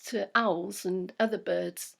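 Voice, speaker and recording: A woman doing an excitable busy mother beaver. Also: lowish voice, slow, creaky, full character voice. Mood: hesitant. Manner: flustered, breathless. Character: eager hardworking female beaver, excitable.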